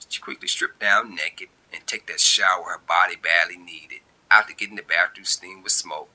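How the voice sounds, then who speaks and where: A man's voice: low-pitched, pushed and bright, husky, rough recording. A man narrating his own short story as an amateur reader.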